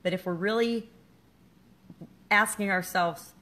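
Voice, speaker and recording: A woman's voice: mid-range voice, slow pace, sharp tone. A middle-aged woman giving a candid, self-deprecating motivational talk to her viewers. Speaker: business coach and vlogger. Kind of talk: casual motivational talk to camera.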